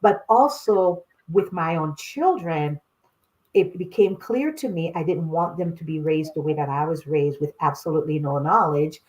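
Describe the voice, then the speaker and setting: A middle-aged woman from Haiti talks as a sexologist guest on a casual podcast. She tells personal stories in an animated way and laughs often. Her voice sits somewhat low. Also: moderate pace, poor audio. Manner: animated storyteller, laughs often, addresses listeners as y'all.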